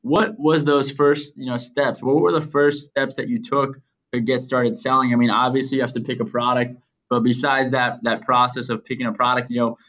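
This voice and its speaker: A man's voice: medium pitch, flat delivery, moderate pace, fairly bright tone, dull audio. A male podcast host with a voice in the middle range. He asks his questions with eager enthusiasm and fills the gaps with loose, casual phrasing. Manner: enthusiastic, with many "you know" fillers. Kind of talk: casual interview hosting.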